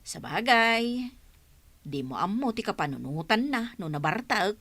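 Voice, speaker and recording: Lady acting as gossipy village friend w advice, ilocano accent, lively pitch, bright voice, radio comedy. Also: measured pace, full character voice. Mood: gossipy, advising. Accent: Ilocano, northern Philippines. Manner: conversational, probing.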